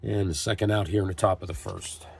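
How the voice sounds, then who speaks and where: Low-voiced man calling a dice baseball game. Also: fast talker, breathy edge. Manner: steady, reads lineups and calls plays like a broadcaster. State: getting over a cold, voice strained. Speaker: tabletop baseball game host. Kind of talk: play-by-play narration of a dice game.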